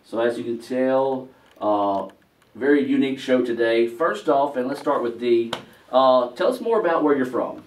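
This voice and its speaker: A man's voice: mid-range voice, normal speed. A man hosting a casual interview show, asking folksy questions with lots of fillers. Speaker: talk show host. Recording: roomy, distant, faint hiss.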